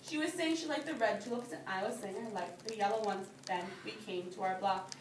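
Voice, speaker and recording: A woman reading a picture book aloud to young children as their teacher, pausing to explain things in simple phrases. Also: mid-range voice. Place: indoor classroom. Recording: roomy, distant microphone with background noise.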